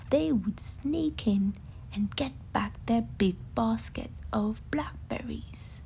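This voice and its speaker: A woman narrating a children's bedtime story in an expressive, breathy way. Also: medium pitch, slight background noise, low-quality recording.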